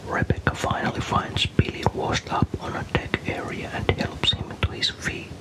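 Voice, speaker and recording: Guy from finland doing asmr storytime, all soft breathy whisper, calm and unhurried narration.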